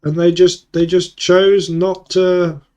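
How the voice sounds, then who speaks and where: A man's voice: sits high, level tone, slow pace, a little mellow. Sniffly man muttering game commentary. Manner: muttering, self-correcting play-by-play. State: sniffly with a cold and sore throat. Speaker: card game streamer. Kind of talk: thinking-aloud gameplay commentary.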